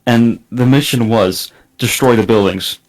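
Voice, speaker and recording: A man's voice: mid-range voice, a little slow, poor audio. A young man from Estonia, not a native English speaker, chatting casually on a gaming podcast, with many fillers and restarts. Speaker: podcast guest.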